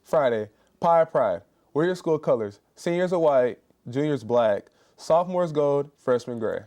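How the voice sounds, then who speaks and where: Mellow-voiced young man reading announcements. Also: on the high side, measured pace. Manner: steady, read-aloud delivery. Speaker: student news anchor. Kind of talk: read announcements.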